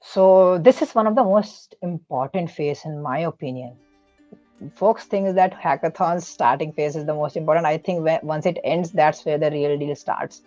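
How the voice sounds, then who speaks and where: A man's voice: fairly high pitch, normal speed, darkish tone, dry recording. An earnest man explains things step by step as a podcast guest, often restarting and correcting himself mid-sentence. His voice is dry and close, with no room sound, and slightly muffled, with faint music behind. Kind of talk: casual podcast advice.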